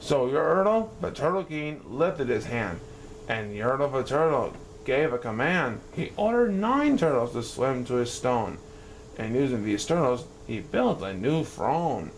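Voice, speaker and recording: A man reading a rhyming children's story aloud in a sing-song, animated way. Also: medium pitch, unhurried, slight background noise, low-quality recording.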